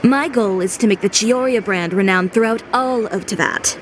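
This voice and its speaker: A woman's voice: medium pitch, moderate pace, background noise, close to an everyday voice. A woman playing a cool, confident young fashion designer in a video game, composed and dryly matter-of-fact. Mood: self-assured. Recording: loud music under the voice. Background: music.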